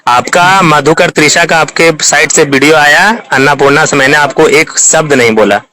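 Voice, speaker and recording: A man's voice: fairly high pitch, moderate pace, pushed and bright, a little hiss or hum. A man with a Bhojpuri-region Indian accent in a heated phone argument, combative, repeating himself and interjecting. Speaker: online video creator on a phone call.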